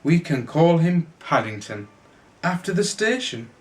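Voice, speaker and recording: A man's voice: fairly high pitch, big pitch swings, measured pace, voice pushed up. A man voicing a kindly, decisive married woman in a children's storybook, concerned and ready to take charge. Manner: exclaiming, concerned, takes charge.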